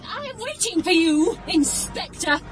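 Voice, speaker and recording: A woman's voice: very high voice, sing-song, a little slow, noisy background, full character voice. An actress playing a proud, defiant young woman in a radio drama, speaking bluntly.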